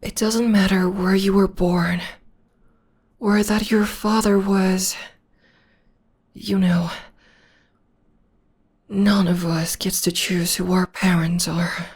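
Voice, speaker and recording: A woman voicing a devoted young witch girlfriend in an ASMR roleplay, talking in a soft half-whisper close to the mic. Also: medium pitch, flat delivery, slow, pauses often, husky. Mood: tender, reassuring. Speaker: voice actor.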